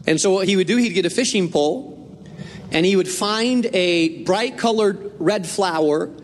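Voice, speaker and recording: A man's voice: high voice, normal speed, long reverb tail, a little hiss or hum. A man from northern Spain preaching a sermon as a pastor, earnest and conversational, repeating his key points.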